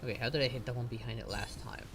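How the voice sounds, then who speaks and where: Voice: medium pitch, steady tone, racing, very noisy. A streamer giving casual playthrough commentary, with grumbling and sarcastic asides. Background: game music.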